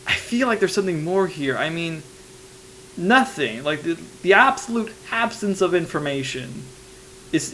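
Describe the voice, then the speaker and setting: A man's voice: fairly high pitch, a bit bright. A young man playing an anxious investigative reporter, rambling hesitantly and informally into a private tape diary. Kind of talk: analog horror monologue, confessional voice memo. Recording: noisy tape recorder with hum.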